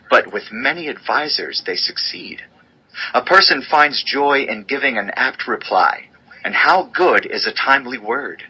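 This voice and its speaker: A man narrating an audio Bible as a dramatized reading, with a measured, solemn, declarative delivery. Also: medium pitch, pushed and bright, breathy edge, rough recording.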